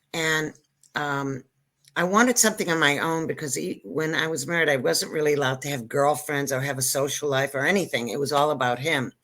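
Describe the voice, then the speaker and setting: A woman's voice: deep voice, a bit bright. An older woman born in Israel whose first language was Hebrew, telling her story in a rambling, self-interrupting, joking way as a guest comedian. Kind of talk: casual interview chat.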